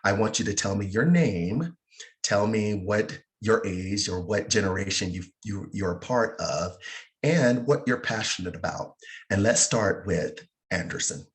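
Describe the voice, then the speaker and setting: A man's voice: fairly low pitch, unhurried. A man moderating a panel discussion and introducing the guests.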